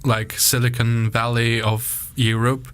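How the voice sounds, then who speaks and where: A young man from Estonia, a native Russian speaker, talks casually as a podcast guest. His voice sits at a middling pitch for a man, and he rambles loosely, scattering "like" through his sentences. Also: little pitch movement, a little slow, a bit bright. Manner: loose and chatty, leaning on "like" fillers. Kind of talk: casual conversation.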